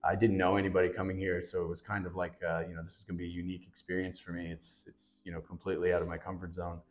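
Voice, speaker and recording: A Canadian-born rugby player chatting casually on a panel, with relaxed, rambling speech and many fillers. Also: male voice, low voice, very fast. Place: indoor room with a branded backdrop.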